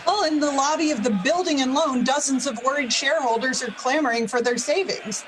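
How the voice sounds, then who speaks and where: A woman playing a patient, authoritative head angel in a radio play, telling a story in a measured, explanatory way. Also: high-pitched, natural voice. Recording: faintly noisy video call.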